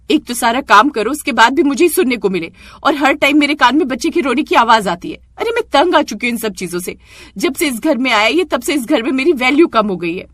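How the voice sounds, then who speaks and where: A woman acts out an irritable young sister-in-law from a cartoon family story, jealous and rude. Her voice is high and very lively in pitch. She complains in a snappy, resentful manner. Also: no echo, studio quality.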